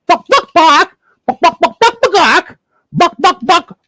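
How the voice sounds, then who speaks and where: A man voicing an excitable magic chicken in clucking falsetto for a children's story. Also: takes it slowly, no echo, exaggerated voice. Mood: excited clucking.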